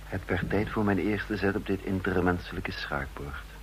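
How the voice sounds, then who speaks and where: A man's voice: deep voice, moderate pace, slight background noise, lightly acted, poor audio. An actor playing a calculating male double agent who narrates his moves in a radio drama. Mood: scheming.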